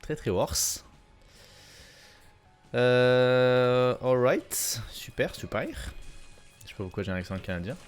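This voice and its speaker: A man giving relaxed, rambling gameplay commentary with lots of fillers and repeated phrases. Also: medium pitch, takes it slowly, pauses often, clean tone, soft background music. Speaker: gaming YouTuber. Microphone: desk mic at a gaming setup.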